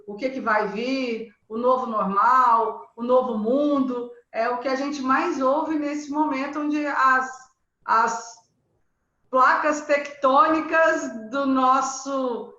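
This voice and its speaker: A woman hosting an interview, speaking reflectively with frequent pauses and restarts over a video call. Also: sits high, fairly bright tone. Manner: hesitant, many fillers and restarts, reflective. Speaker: host and interviewer. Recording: echoey room, dull lo-fi sound.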